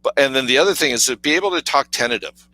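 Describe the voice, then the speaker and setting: Deep-voiced man, bright and dry. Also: very fast, no room sound, low-quality recording. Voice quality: deep authoritative voice. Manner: storytelling, acts out quoted voices, many fillers. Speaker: podcast co-host. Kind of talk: casual conversational coaching talk.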